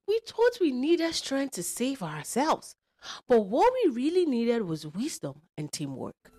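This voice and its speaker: A woman's voice: high-pitched, huge pitch swings, measured pace, background noise, pitched above the performer's own voice, exaggerated voice. Lady as a happy storybook monkey, enthusiastic, voice goes up and down a lot. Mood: cheerful. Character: cheerful monkey in children's story.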